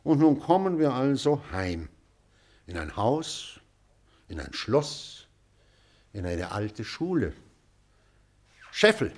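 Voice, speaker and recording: An elderly schoolmaster holds forth in a radio drama. He is pedantic and commanding with a wistful streak, taking his time, declaiming with a very lively rise and fall in a mid-pitched voice. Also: takes it slowly, long pauses. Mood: pedantic, deliberate. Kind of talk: German radio play acting, lecturing.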